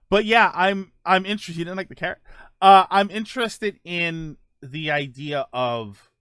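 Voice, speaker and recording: A man with a slightly higher-set voice hosts a casual gaming stream. He gives his opinions in reply to viewers, stopping and rephrasing as he works through a point. The sound is dry, with little room in it. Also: a bit bright, no echo. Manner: halting, circles back and rephrases points. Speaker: host of a gaming livestream. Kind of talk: casual opinion talk answering viewer comments.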